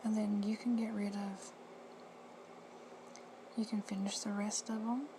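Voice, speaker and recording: A woman with a hoarse, husky voice giving plain, friendly step-by-step instructions in a casual how-to. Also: mid-range voice, level tone, a little slow. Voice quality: whispery, husky. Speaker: reseller tutorial host. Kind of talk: casual screen-recorded how-to. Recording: noisy home recording.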